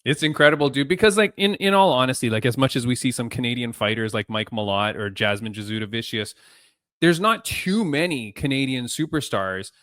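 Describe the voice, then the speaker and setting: A male podcast host, asking questions in an excited, casual way, full of "dude" and slang. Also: medium pitch, a little fast, dry recording, studio quality. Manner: excited, says "dude" and "frickin'".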